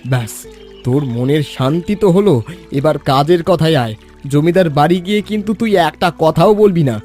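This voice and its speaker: High-pitched greedy, pushy village schemer, played by a man. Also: expressive, moderate pace, darkish tone, faint music behind, natural voice. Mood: smug, bossy. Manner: pushy, boastful, impatient. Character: greedy, lazy, deceitful young village man. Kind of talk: animated Bengali children's story acting.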